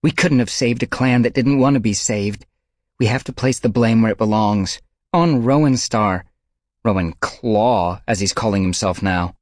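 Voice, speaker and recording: A man's voice: medium pitch, moderate pace, few pauses, no room sound, studio quality, voice pushed up, lightly acted. A man voicing a slim young she-cat who snarls and talks with sarcasm and fiery scorn. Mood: scornful.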